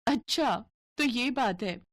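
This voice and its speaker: A woman's voice: a little high, expressive, takes it slowly. A woman voicing a sweet, simple young bride, polite, frank and innocent, in a cartoon story.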